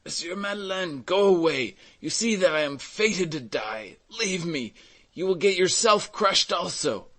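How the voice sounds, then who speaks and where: A man's voice: sits high, expressive, unhurried, fairly bright tone, pitched above the performer's own voice, heavily acted. A man voicing a trapped old carter in a storybook reading, pleading in a strained, agonized way. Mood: resigned, pleading. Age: older.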